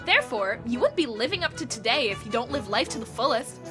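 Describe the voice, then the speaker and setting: A woman voicing a bossy, energetic teenage girl who leads the group in a commanding, emphatic way, with music behind her. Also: on the high side, fast talker. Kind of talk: fan audio drama acting. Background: background music.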